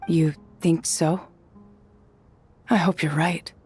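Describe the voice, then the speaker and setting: A woman's voice: deep voice, steady tone, slow, a little mellow, husky, soft background music, full character voice. An actress playing a blunt teenage girl in a video game, speaking in a casual, plain-spoken way. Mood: subdued, earnest. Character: blunt, once hot-tempered teenage girl, now calmer.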